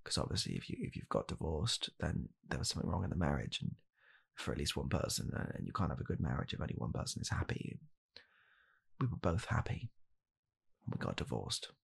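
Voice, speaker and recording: A young British man in a hushed, halting, deadpan comedic ASMR roleplay, playing a bitter, weary father coldly blaming his child. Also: a bass voice, creaky, husky. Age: young adult. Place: small home room, indoors.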